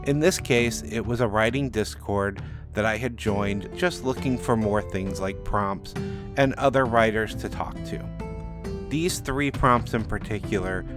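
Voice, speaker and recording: Low-voiced man narrating a podcast. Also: unhurried, music in the background. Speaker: author and narrator of a story podcast. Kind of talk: scripted narration.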